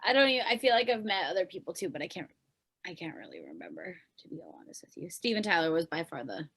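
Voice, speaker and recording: A woman's voice: fairly low pitch, sing-song, fast, fairly bright tone, slightly rough. A woman with a Canadian accent speaking as an interview guest, informal and rambling, often trailing off. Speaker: podcast guest, pop singer-songwriter. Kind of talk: casual interview chat.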